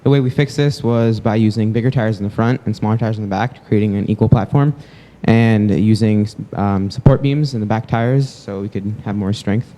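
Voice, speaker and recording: A young man from Massachusetts describing a robot fix in a steady, rehearsed way. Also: on the low side, moderate pace, few pauses, slight background noise. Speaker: student presenter. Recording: reverberant hall.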